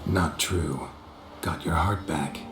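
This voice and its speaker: Gruff weary monster hunter for a game, really deep gravelly voice, dry and deadpan, bit echoey. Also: male voice, slow, over music. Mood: steady, reassuring. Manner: laconic, clipped, deadpan. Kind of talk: video game voice acting.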